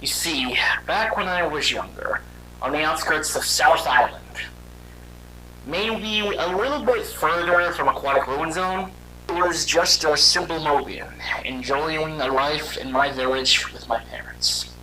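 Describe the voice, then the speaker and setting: A man voicing a weary young robot hedgehog, telling his story in a halting, conversational and dramatic way for a fan audio drama. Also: a little high, a crowd in the background, natural voice, low-quality recording. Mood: somber, reflective.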